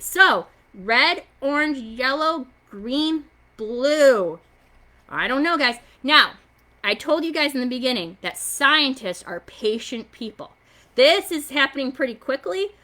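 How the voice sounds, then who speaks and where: A woman with a fairly high, very bright voice leads a science activity for young children. She sounds like an excitable, encouraging story time host, speaking simply and directly to her little viewers.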